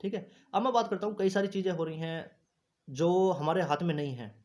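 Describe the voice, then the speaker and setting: A man's voice: high voice, fairly quick, darkish tone. A man giving an informal, instructive explainer to exam candidates, repeating points and often checking that listeners follow. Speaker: host of an exam news channel.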